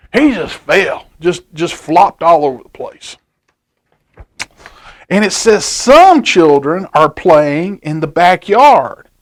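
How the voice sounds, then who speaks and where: A man reading a picture book aloud to children at a library storytime, asking them questions as he goes. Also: high voice. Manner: chatty, asks children questions, repeats words.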